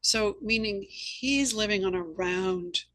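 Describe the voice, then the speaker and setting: A woman's voice: mid-range voice, takes it slowly, a crowd in the background. A woman hosting a conversational interview, chatting and sharing anecdotes. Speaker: interview host and novelist.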